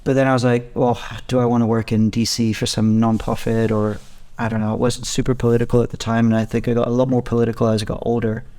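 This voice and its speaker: Man in his thirties, flat even pitch. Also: fast talker, few pauses. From Spain. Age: 30s. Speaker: podcast guest, gym co-founder. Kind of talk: casual interview storytelling.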